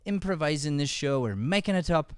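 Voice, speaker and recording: A man hosts a live comedy show in a put-on Irish accent. His voice sits a little high and is very clean and smooth. He chats casually to the audience, close on the microphone in a dry space. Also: animated, brisk, no room sound. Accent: put-on stage Irish. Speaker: improv show host. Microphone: close podcast microphone.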